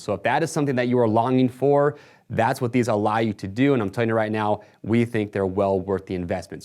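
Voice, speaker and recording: Male product presenter, medium pitch, casual and explanatory, walking viewers through gear for a retailer video. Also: darkish tone. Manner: casual, explanatory sales patter.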